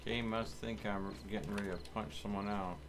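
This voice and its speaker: Low-voiced man streaming a game, noisy backdrop. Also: fairly even intonation, normal speed. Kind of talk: casual gameplay chat with teammates. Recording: constant game noise behind voice.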